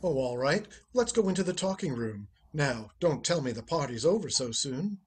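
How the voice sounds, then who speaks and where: A man's voice: fairly high pitch, big pitch swings, normal speed, slight background noise. A man playing a wry, urbane, unruffled ex-husband in a radio play, speaking with dry politeness. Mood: wry, hospitable. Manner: dry, polite, wryly amused.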